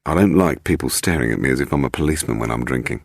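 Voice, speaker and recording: A man's voice: rumbling low, lively intonation, racing, no room sound, studio quality, voice pushed down, full character voice. A man playing a blunt, world-weary, matter-of-fact English police inspector. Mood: dry, relaxed.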